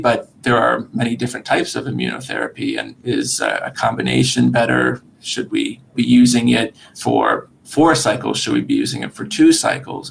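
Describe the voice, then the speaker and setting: A man speaking as a medical expert guest, explaining in a measured, articulate way with a gravelly, creaky voice. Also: medium pitch, slight background noise, low-quality recording. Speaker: surgeon-researcher guest. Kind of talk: podcast interview explanation.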